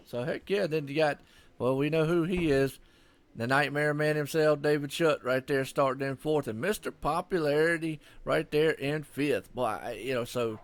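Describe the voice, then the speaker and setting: A man co-commentating a sim race in a casual, folksy, rambling way. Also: on the high side. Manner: folksy, rambling, says "man" and "y'all" often. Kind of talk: casual, chatty live sports commentary.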